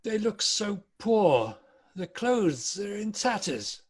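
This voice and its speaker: Troubled old miser, played by a man, high-pitched and husky, for a holiday drama read. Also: very animated, slow pace, full character voice. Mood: puzzled. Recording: remote video-call recording.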